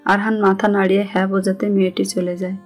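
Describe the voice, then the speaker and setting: A woman's voice: mid-range voice, little pitch movement, fast. A woman with a Bangladeshi accent reading scripted narration of a romantic story. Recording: music under the voice.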